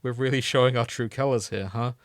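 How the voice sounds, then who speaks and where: A man with a mid-pitched voice chats as a podcast host. The delivery is casual and comedic, and the sound is dry and close with almost no room echo. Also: steady tone, a little fast.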